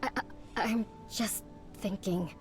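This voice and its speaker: A woman's voice: mid-range voice, slow pace, faint music behind, close to an everyday voice. An actress voicing a timid young woman agent in a video game, stammering as she defends herself. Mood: flustered.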